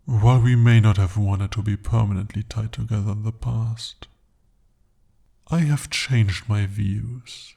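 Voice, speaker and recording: A young man voicing a jealous, possessive male demon bound to a sorcerer, speaking softly and deliberately in an ASMR roleplay. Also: lowish voice, gravelly. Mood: jealous, coaxing. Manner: intimate, soft-spoken, slow and deliberate.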